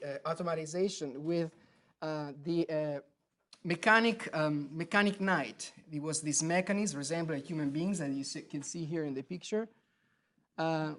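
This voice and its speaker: A surgeon from Italy with an Italian accent gives an academic lecture, pausing often with fillers and restarting phrases. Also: male voice, fairly high pitch. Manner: hesitant, many fillers and restarts. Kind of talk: academic lecture at grand rounds. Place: lecture hall.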